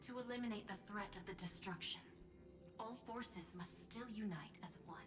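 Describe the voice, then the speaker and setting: An actress playing a poised, persuasive corporate executive in a video game, speaking formally in measured phrases. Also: female voice, medium pitch, over music, natural voice. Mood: persuasive, assured. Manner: formal, smooth-talking, measured. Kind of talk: scripted video game dialogue.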